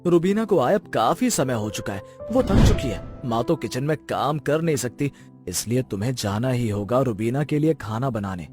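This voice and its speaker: A firm young village husband, voiced by a man in a cartoon moral story. He speaks at a middle pitch. Music is clearly heard behind him. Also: expressive, moderate pace, natural voice. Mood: firm, reproving.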